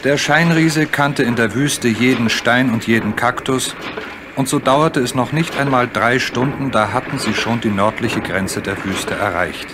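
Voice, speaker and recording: A man's voice: medium pitch, a little slow, noisy background. A man reading scripted storybook narration as the narrator of a children's radio play.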